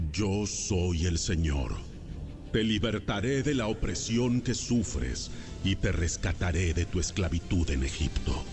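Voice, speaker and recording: A man voices an almighty God in a Bible audio drama. The voice is low, slow and solemn, declaring with authority over clearly audible music.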